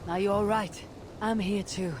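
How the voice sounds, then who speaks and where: An actress playing a composed, dry warrior investigator in a video game, speaking matter-of-factly. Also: female voice, medium pitch, steady tone, moderate pace, background noise, lightly acted. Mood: concerned. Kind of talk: video game character acting.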